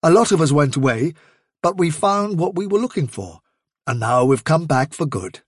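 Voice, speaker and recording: A man's voice: on the high side, animated, normal speed, breathy edge, no room sound, clean studio sound. A man voicing a male rabbit leader who questions urgently and firmly. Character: male rabbit leader, earnest, firm and inquisitive.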